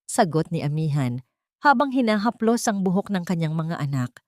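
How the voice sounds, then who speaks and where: Woman, story narrator, lower pitch, dry sound, dramatic scripted delivery. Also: very animated, normal speed, no echo.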